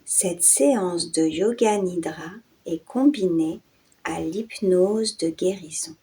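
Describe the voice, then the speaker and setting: A woman guiding a yoga nidra and hypnosis meditation in a soothing, hushed way, in short phrases with breathy endings and long pauses. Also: on the low side. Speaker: meditation guide and channel host.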